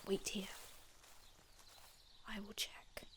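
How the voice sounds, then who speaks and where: An aloof old-world male vampire healer, played by a woman, speaks slowly in an ASMR roleplay. The delivery is hushed and haughty, with formal, archaic wording and every phrase carefully measured. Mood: hushed, urgent. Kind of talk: ASMR roleplay, scripted in-character monologue.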